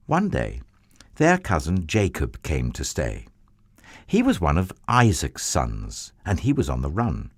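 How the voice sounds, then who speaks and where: A man telling a children's Bible story in an expressive, theatrical way with drawn-out emphasis. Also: rumbling low, no room sound, clean studio sound.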